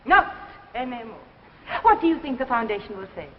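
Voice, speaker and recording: An actress playing a spiteful, taunting wife in a theatrical 1950s drama style, feigning innocence. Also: female voice, on the high side, big pitch swings, brisk. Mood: cold triumph. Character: spiteful wife gloating over destroying her husband's work. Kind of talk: 1950s anthology drama acting. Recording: old muffled hissy broadcast, reverberant hall.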